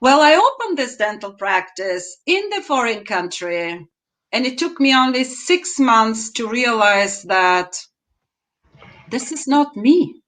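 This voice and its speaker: Measured Bulgarian-accented woman in her fifties. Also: on the high side, sing-song, a bit bright. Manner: measured, deliberate, with emphatic rises. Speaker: guest. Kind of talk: interview answers, personal story. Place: home room, indoors. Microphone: video call.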